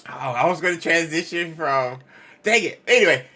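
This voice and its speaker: A man hosting a livestream, chatting casually and reading sponsor spots, often halting and repeating himself. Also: on the high side, expressive, bright, forceful tone. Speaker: livestream host.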